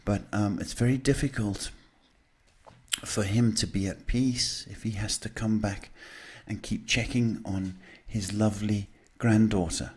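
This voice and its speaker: A man with a fairly low voice gives live psychic advice. He talks casually and directly to the listener, pausing to search for words and often seeking agreement. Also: fairly even intonation, darkish tone, husky. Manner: halting, repeats "okay" as a check. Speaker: psychic host giving live readings. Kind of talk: live advice to callers, casual spiritual talk.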